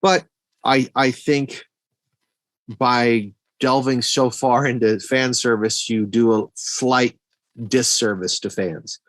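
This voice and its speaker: A man's voice: medium pitch, fairly even intonation, slow pace, dry recording. Middle-aged man chatting, dry close sound. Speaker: podcast co-host reviewing movies. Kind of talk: casual film discussion.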